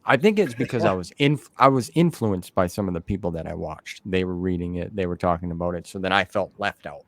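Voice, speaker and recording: Low-voiced man chatting casually, dry sound. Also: fairly quick, no echo. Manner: informal, rambling, uses "man" and "dude" often. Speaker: interview host. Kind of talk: casual conversational interview chat.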